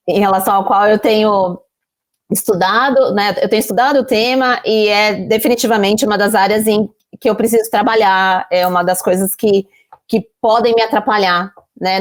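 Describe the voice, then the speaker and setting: A woman from Brazil with a Brazilian Portuguese accent, a podcast guest who tells her own story openly, pausing and restarting often as she thinks it through. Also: mid-range voice. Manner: candid, many fillers and restarts, self-questioning. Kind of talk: candid personal storytelling.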